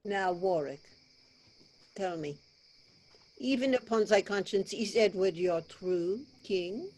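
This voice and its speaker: A woman playing a stately, commanding French king in a staged reading of verse drama, with measured, formal delivery. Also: medium pitch, darkish tone, rough recording. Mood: probing. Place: remote video call.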